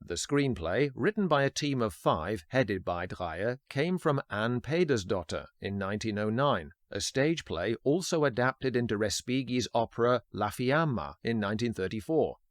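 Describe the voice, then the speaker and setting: A man hosting a podcast, reading a scripted film history narration in a measured, formal way. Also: mid-range voice, expressive, dry recording, clean studio sound. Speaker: podcast host.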